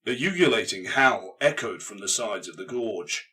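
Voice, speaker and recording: A man's voice: lowish voice, lively intonation, moderate pace, sharp tone, recorded from a distance. A man reading a grim science fiction audiobook as narrator, in an intense, theatrical delivery. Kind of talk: dramatic scripted narration of grimdark science fiction.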